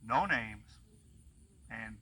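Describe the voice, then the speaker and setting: Man, bright tone, slow pace, solemn roll call, falling line ends, outdoor ceremony. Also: fairly low pitch, expressive, breathy edge. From Illinois. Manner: slow, measured, dropping at each line end. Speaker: reader of veterans' names.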